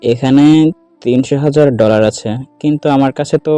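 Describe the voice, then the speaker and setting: Man playing very dark-voiced, easygoing elder brother. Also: mid-range voice, brisk, no room sound, faint music behind, close to an everyday voice. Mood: casual. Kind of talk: dramatised audio story dialogue.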